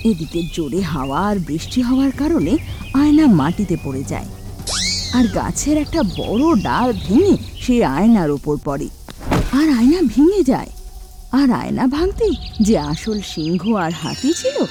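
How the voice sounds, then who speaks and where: A woman narrating a children's cartoon story in an expressive storytelling way. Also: medium pitch, moderate pace. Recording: children's music behind.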